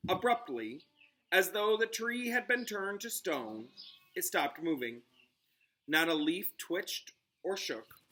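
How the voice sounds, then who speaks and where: A man's voice: high-pitched, lively intonation, slow, bright, forceful tone. A man reading a storybook aloud as a teacher, with dramatic narration aimed at children. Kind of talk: expressive storybook read-aloud with asides.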